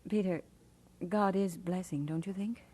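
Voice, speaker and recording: An actress playing a gentle, supportive minister's wife in an audio drama, with a soft, husky voice. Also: female voice, fairly low pitch, a little slow. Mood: gentle, encouraging.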